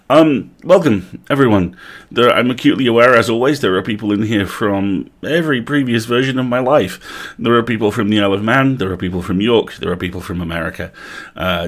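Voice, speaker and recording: A British man hosting a livestream in a casual, chatty way, with wry, playful asides. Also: fairly low pitch. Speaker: livestream host.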